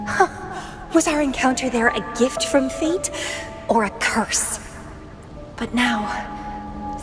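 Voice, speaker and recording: Young female game fighter, high voice, unhurried languid delivery, lethal, playful, melancholy, theatrical. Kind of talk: video game character acting. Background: background music.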